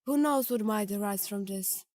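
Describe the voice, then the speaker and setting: A woman's voice: medium pitch, moderate pace, darkish tone, dry recording, clean studio sound, lightly acted. An actress playing a musing, playful woman who talks to herself and her cat in an audio drama. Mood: playful, curious. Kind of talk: audiodrama acting.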